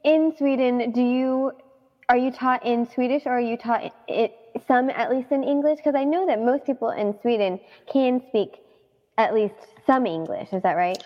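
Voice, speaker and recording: A woman interviewer with a fairly high voice, asking curious, gentle questions and hedging softly. Her sound is dull and muffled, with a long reverb trailing behind it. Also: moderate pace. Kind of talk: casual interview chat.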